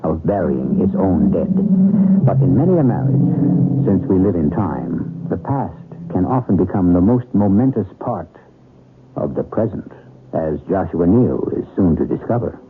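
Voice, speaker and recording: A man hosting a radio mystery series, narrating a script in a measured, portentous manner. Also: sits low, lively intonation, background noise. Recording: tinny old radio broadcast, music behind. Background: music.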